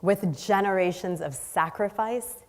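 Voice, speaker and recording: A woman's voice: mid-range voice, measured pace. A woman born in Haiti giving an earnest, storytelling keynote speech on stage, listing her points emphatically. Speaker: guest speaker. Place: large hall on stage. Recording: long hall reverb.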